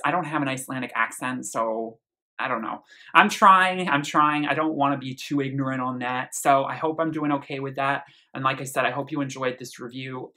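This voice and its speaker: A man talks through an album review in a loose, chatty vlog style, with an enthusiastic, gushing tone as he reaches for words. His voice sits at a middle pitch and has a bright, forward tone. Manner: chatty, frequent fillers and restarts. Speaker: music reviewer.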